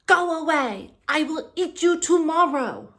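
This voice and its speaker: A woman voicing a fierce, boastful male dragon from a storybook, with loud, forceful bellowing. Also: high-pitched, expressive, unhurried, voice pushed up. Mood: fierce, roaring.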